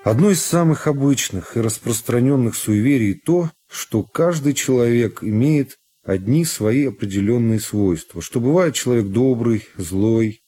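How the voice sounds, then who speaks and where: A man's voice: medium pitch, animated, measured pace, few pauses, mellow, husky. A man reading scripted literary narration as the storyteller of a radio drama.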